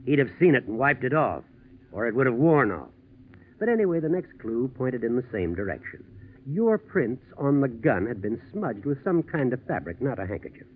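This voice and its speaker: An American actor playing a methodical, shrewd district attorney in a 1940s radio drama, probing in a deceptively casual way, on a tinny old recording. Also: male voice, mid-range voice, big pitch swings, moderate pace, slight background noise, natural voice. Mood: confident, explanatory.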